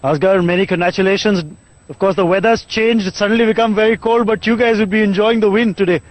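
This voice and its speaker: A high-pitched man rattles through a post-match presentation at a brisk clip, smooth and formal like a seasoned broadcaster. He is outdoors at a cricket ground, talking into a handheld mic. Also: steady tone, dry recording, rough recording.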